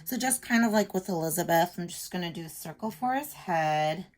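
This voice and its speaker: A woman's voice: fairly low pitch, expressive, brisk, darkish tone, a little hiss or hum. A woman teacher reading aloud and explaining a simple lesson to young children step by step. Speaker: elementary school teacher.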